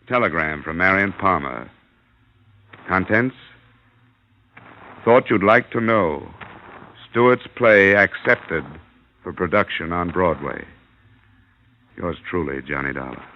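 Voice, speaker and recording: An actor playing a hard-boiled insurance investigator, narrating in clipped, sardonic old-radio noir style. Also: male voice, deep voice, expressive, slow, long pauses, full character voice. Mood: wry, dry. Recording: dull old radio recording.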